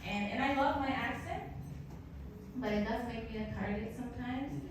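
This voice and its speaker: A woman's voice: a little high, moderate pace, background noise. A young woman with a Salvadoran Spanish accent doing a stand-up comedy set, with a dry, self-mocking delivery full of stammered restarts. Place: comedy club stage. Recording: echoey club recorded from far off. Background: audience laughter.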